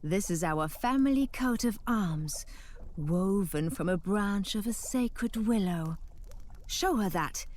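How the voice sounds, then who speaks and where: A woman's voice: medium pitch, expressive, slow, darkish tone, a little hiss or hum. A woman voicing a kind, helpful young village woman in a game cutscene.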